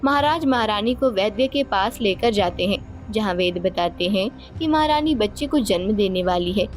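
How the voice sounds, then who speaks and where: A woman's voice: medium pitch, animated, normal speed, soft background music. A woman narrating a scripted moral story as the storyteller of a cartoon tale.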